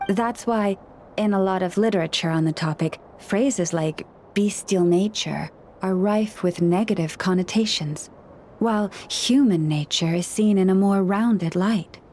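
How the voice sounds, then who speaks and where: Game role: actress as wise, gentle friend of beasts, mid-range voice, unhurried and reflective. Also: female voice, medium pitch, a little hiss or hum, lightly acted. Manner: calm, measured, softly reflective. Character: gentle woman who speaks with animals, wise and serene. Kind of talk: video game voice acting.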